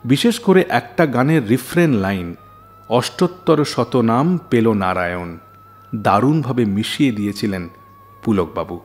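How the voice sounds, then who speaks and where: A male radio presenter narrates scripted anecdotes like a dramatic storyteller. His mid-pitched voice swings with lively expression, and soft music plays underneath. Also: unhurried. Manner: dramatic storyteller delivery with rhetorical questions. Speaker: radio show host.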